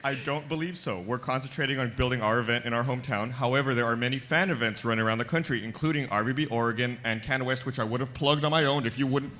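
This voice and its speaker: A man's voice: medium pitch, fast talker, few pauses, bright, forceful tone, a little hiss or hum. A man on a live convention panel, speaking casually in a blunt, bantering way. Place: convention panel table before an audience.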